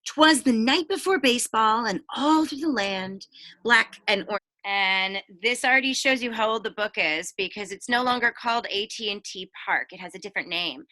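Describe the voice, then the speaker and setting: Bright-voiced woman reading a bedtime story. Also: fairly high pitch. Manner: expressive, child-directed, explains and asks questions. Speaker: teacher reading to pupils. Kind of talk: bedtime picture-book read-aloud with chatty asides to children.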